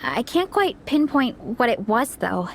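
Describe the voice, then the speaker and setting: A woman's voice: a little high, sing-song, unhurried, slight background noise. An actress playing an earnest, caring young athlete who captains her team in a video game. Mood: troubled, puzzled. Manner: earnest, troubled, plain-spoken.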